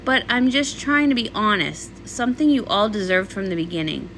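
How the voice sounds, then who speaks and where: A woman's voice: medium pitch, lively intonation, moderate pace, a bit bright, slight background noise, pitched below the performer's own voice, poor audio. A woman voicing a young female pony pop star, dramatic, defensive and a little whiny, in a comic read-along. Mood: apologetic.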